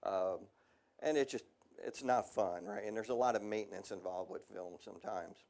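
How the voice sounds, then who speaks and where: A man's voice: fairly low pitch, moderate pace, darkish tone. Man presenting at a technical seminar. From United States. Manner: casual, conversational, frequent ums and asides. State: voice slightly worn. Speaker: industrial radiography presenter.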